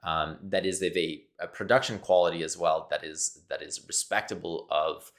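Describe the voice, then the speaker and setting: A man's voice: deep voice, fairly even intonation, moderate pace, a bit bright. A man from Quebec whose first language is French, speaking English with a Québécois accent in a searching, repetitive solo monologue to camera. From Quebec, Canada. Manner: searching, repetitive, thinks aloud. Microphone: camera mic, talking to camera.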